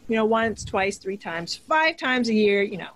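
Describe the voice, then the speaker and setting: A woman from Hawaii, a podcast guest, telling her life story in a relaxed, casual way with frequent laughs. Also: mid-range voice, normal speed.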